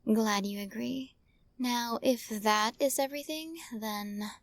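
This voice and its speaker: Woman acting as a sweetly possessive, high-voiced yandere witch. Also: dry recording. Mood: coaxing, smug. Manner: soft coaxing, teasing, drifting into breathy whispers. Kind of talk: ASMR roleplay, intimate acting to listener. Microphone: close studio mic, dry.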